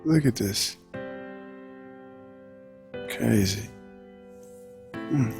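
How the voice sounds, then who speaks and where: A man's voice: on the low side, gravelly. A man playing an easygoing young pro basketball player in casual video game cutscene dialogue. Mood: reflective. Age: young adult.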